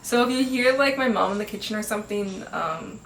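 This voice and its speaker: A woman's voice: mid-range voice, lively intonation, a little fast, a little hiss or hum. A teenage girl hosting her own podcast, chatting casually with lots of fillers, sounding a bit nasal from congestion. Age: teens. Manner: chatty, upbeat, many fillers and laughs. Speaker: podcast host. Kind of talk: casual chat. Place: home room. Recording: echoey home room, faint ambience.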